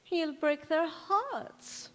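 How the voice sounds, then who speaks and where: A woman's voice: extremely high pitch, expressive, extremely slow, heavily acted. A woman acting in a stage radio play as a loyal, scolding, sorrowful household servant with a folksy, fretful manner. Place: large reverberant church or hall. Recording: echoey hall.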